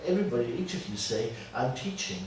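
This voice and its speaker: A man with an Austrian accent and German as his first language, leading an informal workshop as a teacher trainer in a halting, deliberate way with frequent tag questions. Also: medium pitch, big pitch swings, a little mellow. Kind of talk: informal workshop talk to a small group. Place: classroom. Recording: distant mic, echoey room, faint noise.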